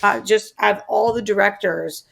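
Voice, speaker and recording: A woman's voice: medium pitch, moderate pace, vocal fry. A woman with an American accent chatting casually as a podcast co-host, informal and inquisitive about entertainment news.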